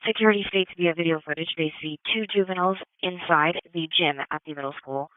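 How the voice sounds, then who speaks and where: A woman's voice: on the low side, fast talker, no echo. A woman working as an emergency dispatcher, reading out procedural details in a clipped, methodical way over police radio. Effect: police radio transmission. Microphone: radio dispatch microphone. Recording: narrow-band police scanner radio.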